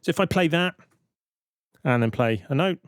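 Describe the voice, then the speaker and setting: A man's voice: fairly high pitch, animated, normal speed, no echo, clean studio sound. A man presenting a tutorial in an informal, explanatory way, thinking aloud as he goes. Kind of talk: informal software tutorial narration.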